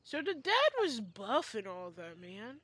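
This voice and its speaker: A woman's voice: fairly high pitch, very animated, unhurried, fairly bright tone, no echo. A young woman doing casual gameplay commentary, slangy, reactive and irreverent. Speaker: game streamer.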